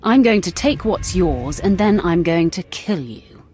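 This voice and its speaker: A woman's voice: fairly low pitch, lively intonation, a little slow, darkish tone, faint music behind. A woman playing an arrogant young acolyte in a video game, cold, threatening and self-assured. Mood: cold, bloodthirsty. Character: menacing, arrogant young female Sith acolyte. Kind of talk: dramatic video game dialogue.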